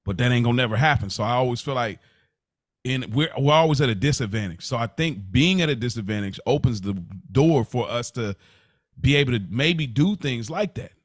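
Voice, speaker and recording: A man from the United States talking as a podcast host, casual and slangy, thinking aloud in a halting, reflective way. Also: mid-range voice, expressive, dry recording, clean studio sound.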